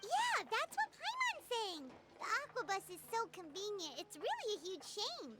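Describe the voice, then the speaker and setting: A woman's voice: very high voice, wildly swooping pitch, normal speed, heavily acted. A woman voicing a tiny, chirpy female sprite companion who talks about herself in the third person, in a game cutscene. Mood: lamenting. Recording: game audio over loud music.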